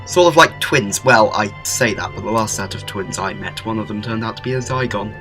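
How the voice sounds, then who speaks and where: A man's voice: on the low side, faint music behind, natural voice. A man playing a whimsical, upbeat alien time traveller with a playful manner in a fan audio drama. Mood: wry. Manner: playful, animated, quick shifts.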